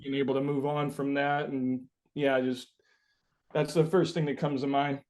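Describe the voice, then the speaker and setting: A man being interviewed as a musician, answering casually and haltingly, thinking aloud and often saying "like". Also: medium pitch, flat delivery, a little mellow.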